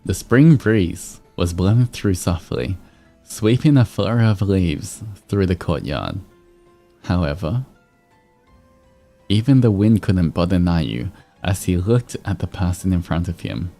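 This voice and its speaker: A man's voice: sits low, expressive, measured pace. A man hosting a livestream, moving between reading fan fiction and chatting casually with viewers. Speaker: VTuber streamer host. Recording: soft music behind. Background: background music.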